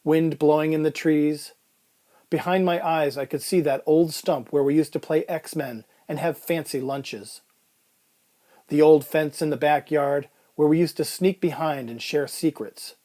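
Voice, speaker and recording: A man's voice: fairly high pitch, fairly even intonation, measured pace, warm tone, lightly acted. A man playing a weary, resigned dying man in a reflective radio-drama monologue. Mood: drowsy, peaceful. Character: weary, resigned dying man telling his strange tale. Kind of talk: first-person dramatic monologue in old-time radio style.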